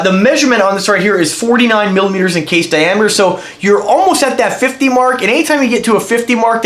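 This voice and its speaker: A man's voice: high-pitched, fairly bright tone, echoey room. A man with a congested voice from a cold, rambling enthusiastically through a casual product review as he handles the item. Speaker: watch reviewer.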